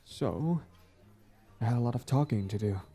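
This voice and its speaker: A man acting out a smug but smitten villain on a date, smooth-talking and casual, in an intimate audio roleplay. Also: medium pitch, lively intonation, normal speed, dark tone. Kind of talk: intimate scripted boyfriend-style audio roleplay.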